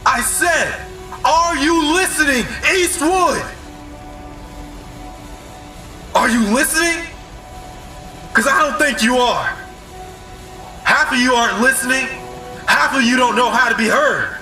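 An actor playing a fed-up rebel addressing a divided town in an audio drama, declaiming with passion. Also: male voice, extremely high pitch, measured pace, pauses often, sharp tone, soft background music, full character voice. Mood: commanding, fed up. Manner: declamatory, impassioned. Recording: echoing, distant, calling from above.

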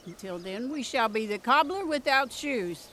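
A woman's voice: a little high, animated, moderate pace, a bit bright, slight background noise. A woman playing a folksy, doting country mother who scolds and fusses. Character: fussing folksy country mother, full of sayings.

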